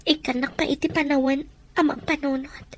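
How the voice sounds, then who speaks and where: A woman's voice: high-pitched, little pitch movement, brisk, a little mellow, a little hiss or hum, lightly acted. A woman playing a poor, self-doubting young woman in a radio drama, hesitant and emotional. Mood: doubtful, wounded.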